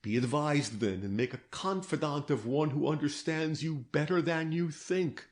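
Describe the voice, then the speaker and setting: A man voicing a stern, patient older detective in a storybook reading, pressing his questions in a grave, measured way. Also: a little high, pitched above the performer's own voice, lightly acted.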